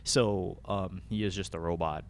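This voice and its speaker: Deep-voiced, halting young man. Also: fairly even intonation, slight background noise. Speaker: podcast co-host. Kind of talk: casual chat about games and films.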